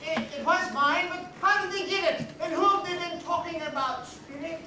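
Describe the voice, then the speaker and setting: A man's voice: very high-pitched, normal speed, background noise. A man playing a stingy old miser on a theater stage, flustered and stammering, heard from the audience in an echoey hall. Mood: anxious. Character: stingy old miser watching his own future. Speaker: stage actor. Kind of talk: amateur stage musical. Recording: echoey hall, distant camera mic.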